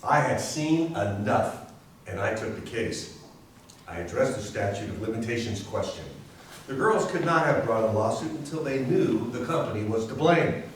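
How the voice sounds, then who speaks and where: A man's voice: medium pitch, lively intonation, moderate pace, close to an everyday voice. A man playing a determined young lawyer, narrating a case in emphatic, declarative readers theater style. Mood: resolute. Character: determined young male lawyer fighting for sick workers. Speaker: community theatre actor. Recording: very echoey room, distant mic, faint noise.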